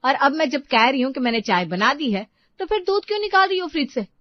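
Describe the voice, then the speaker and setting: A woman's voice: sits high, animated, fast, no echo, dull audio, pitched above the performer's own voice. A woman voicing an aggrieved, sarcastic daughter-in-law from a dramatised family story, complaining and scolding. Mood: angry, scolding.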